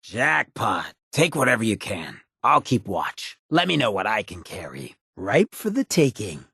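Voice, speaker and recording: An actor voicing a cocky, roguish, streetwise ghoul companion in a video game, with a very raspy, gravelly voice and a sly, laid-back swagger. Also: male voice, fairly low pitch, wildly swooping pitch, measured pace, sharp tone, dry recording, clean studio sound, heavily acted. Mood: cocky, coaxing.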